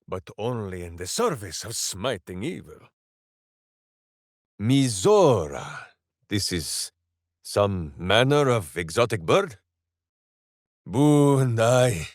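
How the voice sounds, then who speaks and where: A boisterous, simple-minded video game ranger with a hero's earnest heart. He declaims theatrically at a slow, weighty pace, and his pitch leaps and plunges dramatically. The male voice is captured very dry and close, with no room around it. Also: no room sound, full character voice. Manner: grandiose third-person declamation, earnest and theatrical. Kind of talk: video game character voice lines.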